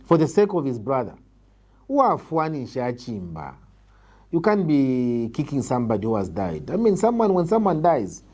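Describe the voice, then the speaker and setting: Mellow-voiced Zambian man, measured and formal. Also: medium pitch, wildly swooping pitch, breathy edge. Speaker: political interviewee, party leader. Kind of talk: political interview answer.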